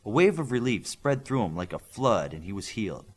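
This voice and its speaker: A man's voice: fairly low pitch, a little fast. A man reading a scripted fiction story as an audiobook narrator, acting out the dialogue as he goes. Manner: animated reading that acts out dialogue.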